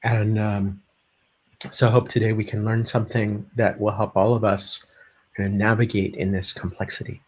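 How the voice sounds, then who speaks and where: A man hosting a live-streamed panel discussion, speaking haltingly with many pauses. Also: fairly low pitch, flat delivery, breathy edge. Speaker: host, panel moderator.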